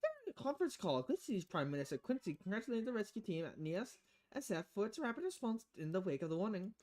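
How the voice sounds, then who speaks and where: A woman's voice: medium pitch, big pitch swings, quick pace, darkish tone. A woman streaming a visual novel, reading the text aloud expressively and often stumbling and correcting herself. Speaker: video game streamer.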